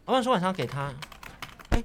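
A woman's voice: fairly low pitch, big pitch swings, quick pace. A woman playing a casual, businesslike office colleague in an audio drama. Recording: clear background noise, clicking.

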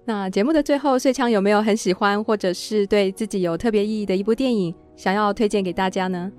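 A woman's voice: mid-range voice, fast, darkish tone, clear, resonant tone, soft background music. A woman hosting a podcast, speaking in a prepared, scripted presenting style. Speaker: podcast host. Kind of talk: interview hosting with scripted closing narration.

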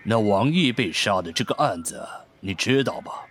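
Brief: shrewd police captain, low-pitched, composed authority, radio drama. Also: male voice, lively intonation, normal speed, fairly bright tone. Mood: composed, businesslike.

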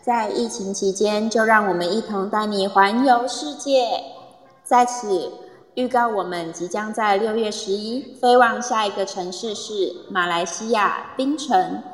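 A woman reading scripted narration for a travel radio play in a measured, presentational way. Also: medium pitch, close to an everyday voice. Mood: composed, presentational. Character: composed female narrator of a group-tour radio play. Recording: echoey, long reverb.

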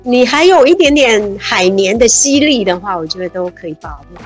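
A cheerful woman in her fifties from Taiwan talks as a guest at a mid pitch, often laughing and speeding up in bursts of enthusiasm. Soft music plays faintly behind her. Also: animated, normal speed. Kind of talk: casual interview chat.

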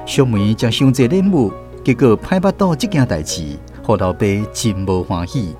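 A Taiwanese-accented man narrates and hosts in a medium-pitched voice with lively intonation. Faint music plays behind his scripted delivery. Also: normal speed. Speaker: host and narrator.

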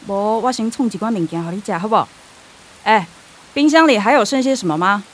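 A woman's voice: medium pitch, lively intonation, fairly quick, close to an everyday voice. An actress playing a practical, caring middle-aged mother in a radio drama, speaking with a Taiwanese accent in a coaxing, explanatory way.

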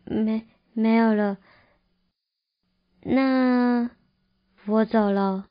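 A woman's voice: fairly high pitch, steady tone, very slow, dry recording. Woman as coy, clingy, manipulative ex-girlfriend. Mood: hesitant, wheedling. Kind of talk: audio drama acting. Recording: slightly dull audio with music.

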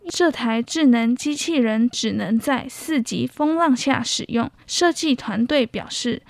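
A woman's voice: on the high side, no room sound. A young woman with a Taiwanese Mandarin accent, reading a scripted news report as a student reporter. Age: young adult.

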